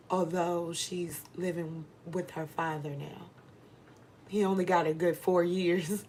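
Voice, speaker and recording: A woman's voice: medium pitch, slight background noise. A middle-aged American woman giving a candid motivational monologue to viewers, speaking emphatically and repeating phrases for stress. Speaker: vlogger giving advice to women.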